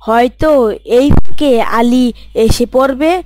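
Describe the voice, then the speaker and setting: A woman's voice: sits high, a little slow. A woman voicing a polite young friend. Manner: polite, upbeat.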